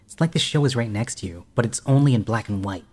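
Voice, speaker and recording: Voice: mid-range voice, lively intonation, quick pace, breathy edge. A performer playing a bossy, know-it-all boy, the older brother in an audio drama. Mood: boastful. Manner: snide, bossy. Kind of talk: audio horror-comedy drama.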